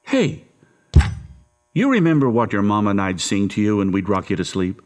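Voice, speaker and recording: A man playing a middle-aged father in a horror radio drama, soothing and quietly manipulative. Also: on the low side, sing-song. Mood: soothing, coaxing. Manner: soothing, slow, coaxing.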